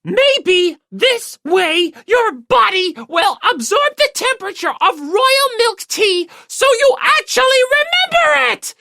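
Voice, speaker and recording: A woman's voice: extremely high pitch, sing-song, slow pace, gravelly, few pauses, bright, forceful tone, voice pushed up, full character voice. A woman voicing a haughty, sadistic young lady in an audiobook, refined and imperious with a volatile temper. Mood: furious, screeching. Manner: imperious, refined, snapping into screeching. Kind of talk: anime-style audiobook character acting.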